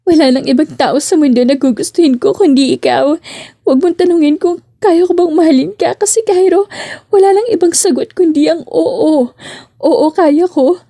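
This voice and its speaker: A woman's voice: sits high, moderate pace, dark tone, voice pushed up. A woman voicing an emotional, tender young woman in love in a romance audio drama. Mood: tearful, joyful.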